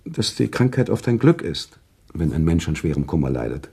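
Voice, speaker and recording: An actor playing an earnest, sentimental author who tells a story in a radio play, with deliberate, expressive literary delivery. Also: male voice, extremely low pitch, creaky, slightly rough, heavily acted. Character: male author narrator recounting a sad love story, earnest and sentimental. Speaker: narrator.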